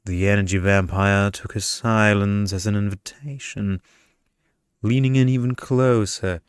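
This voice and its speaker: A man reading a scripted bedtime story in ASMR style, with a hushed, seductive delivery into a close mic. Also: fairly low pitch, steady tone, a little slow, smooth, no room sound. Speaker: story narrator.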